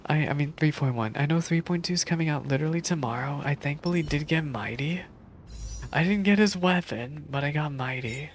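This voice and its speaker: A woman's voice: sits low, brisk, noisy background. A woman giving casual, informal live stream commentary on a game, thinking aloud as she goes. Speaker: game streamer reacting to story. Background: game music.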